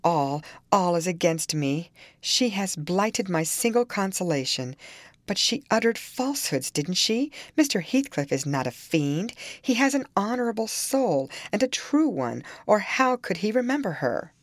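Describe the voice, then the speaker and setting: Impassioned young girl voiced by a woman narrator, infatuated and easily wounded, very animated, dry audiobook sound. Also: mid-range voice, unhurried, dry recording, pitched above the performer's own voice. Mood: tearful. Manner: impassioned, indignant. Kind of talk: dramatised audiobook reading of a classic novel.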